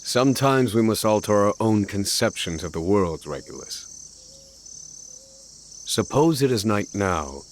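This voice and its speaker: An actor playing a grave, calm, thoughtful man who speaks formally and deliberately, with quiet menace, in a video game. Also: fairly low pitch, slight background noise. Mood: calm, musing. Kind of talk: dramatic video game voice acting.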